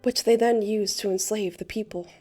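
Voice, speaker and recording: A woman's voice: medium pitch, fairly even intonation, moderate pace, darkish tone, faint music behind, natural voice. A woman playing a theatrical young male bard in a fan dub, telling tales with a lilting, old-fashioned delivery. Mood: grave storytelling. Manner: lilting, archaic rhyming delivery. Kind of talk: fan dub character acting and storytelling narration.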